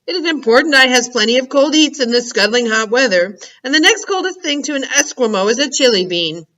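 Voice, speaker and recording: A woman voicing a huge, greedy male giant who booms and shouts in broken grammar. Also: lively intonation, fairly quick, far from the mic. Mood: menacing. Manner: booming, shouting, ungrammatical giant talk.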